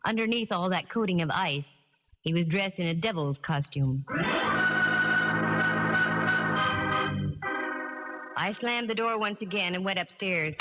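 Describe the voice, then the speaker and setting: An actress playing a wisecracking, tough American private eye, narrating in a wry, hard-boiled 1940s radio drama style. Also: female voice, mid-range voice, pushed and bright, close to an everyday voice. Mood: brooding narration. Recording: 1940s radio recording, reverberant, music behind.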